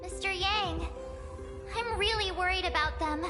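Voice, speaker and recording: Worried young game girl, earnest, high voice, reverby with music under. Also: a little slow. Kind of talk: video game character acting. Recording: long reverb, music behind.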